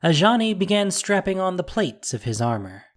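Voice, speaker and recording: A narrator reading a scripted fantasy audio drama in a measured, dramatic, descriptive way. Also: medium pitch, big pitch swings.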